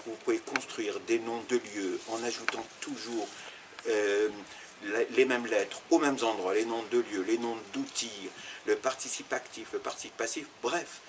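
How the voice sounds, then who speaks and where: A man's voice: medium pitch, steady tone, measured pace, noisy background. A man from Algeria, an Arabic teacher, answering interview questions in a thoughtful, explanatory way, with fillers and restarts.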